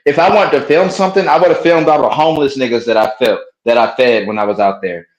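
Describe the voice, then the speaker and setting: A man's voice: medium pitch, steady tone, fast, lo-fi sound. A man hosting a live stream with casual, profane banter, speaking bluntly and repeating short phrases. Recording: roomy, echoey sound.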